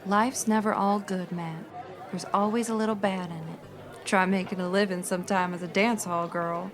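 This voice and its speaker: A woman's voice: mid-range voice, steady tone, moderate pace, lightly acted. Woman doing a worldly dance hall gal, mid voice, frank, saloon music and crowd behind. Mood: consoling. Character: worldly, feisty Old West dance hall girl. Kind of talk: radio western parody acting.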